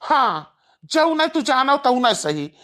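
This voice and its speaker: A woman's voice: sits high, big pitch swings, measured pace, a bit bright, heavily acted. A woman voicing a scolding, hot-tempered villager in a cartoon family quarrel, with an Awadhi accent and combative, repetitive delivery. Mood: defiant. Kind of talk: cartoon family drama acting.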